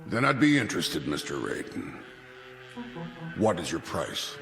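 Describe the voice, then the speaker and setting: An actor playing a stiff, proud older military colonel, formal, clipped and demanding, in 1960s television drama style. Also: male voice, low voice, very animated, a little slow, gravelly, heavily acted. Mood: wary, demanding. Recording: vintage TV soundtrack, reverberant, music behind.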